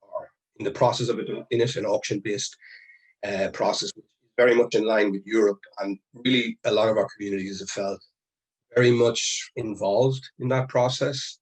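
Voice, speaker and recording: Male presenter, low husky voice, earnest and halting, video-call audio. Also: steady tone, poor audio. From Ireland. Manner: earnest, halting with restarts and fillers. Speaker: conference presenter. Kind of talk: online conference presentation. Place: home or office on a video call. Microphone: video call mic.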